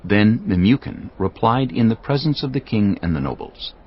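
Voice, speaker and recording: A man's voice: lowish voice, lively intonation, normal speed, breathy edge. A man giving stately, storytelling narration of a scripted dramatized audiobook. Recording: slightly dull audio over music. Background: prominent background music.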